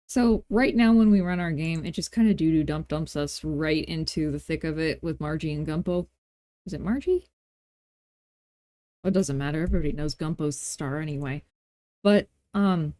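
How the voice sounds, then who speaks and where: A woman with a relaxed, mellow and slightly low voice leads a casual tutorial at an unhurried pace. She thinks out loud as she works and slips in playful, self-deprecating asides. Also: no room sound. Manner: informal thinking aloud while working. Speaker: tutorial host. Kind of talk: casual screen-recorded software tutorial narration.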